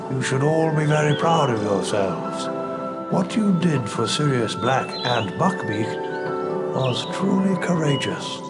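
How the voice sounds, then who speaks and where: An actor voicing a gentle, wise old wizard headmaster in a video game, measured, kindly and grave. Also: male voice, mid-range voice, big pitch swings. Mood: warm, pleased. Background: loud music.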